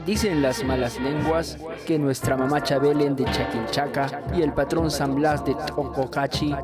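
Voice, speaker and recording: A man's voice: on the high side, steady tone, measured pace. A man from Cusco with a Cusco Peruvian accent, presenting scripted, poetic radio narration. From Cusco, Peru. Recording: loud music under the voice. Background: loud music.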